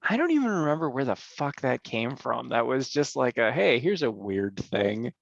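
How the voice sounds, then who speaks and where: A laid-back man talking with friends, his voice gravelly and fried at the edges. He swears freely and laughs easily. The recording is dry and a bit dull. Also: mid-range voice, big pitch swings, moderate pace, no room sound. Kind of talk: casual chat among friends.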